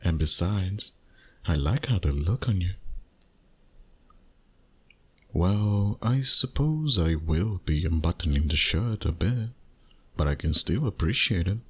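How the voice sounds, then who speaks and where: A flirty, commanding male vampire played by a man for an intimate ASMR roleplay. His voice sits very low and comes out husky and breathy. He takes his time, teasing softly and tenderly with slow, seductive phrasing. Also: expressive, heavily acted. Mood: playful teasing. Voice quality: breathy, husky, often near a whisper.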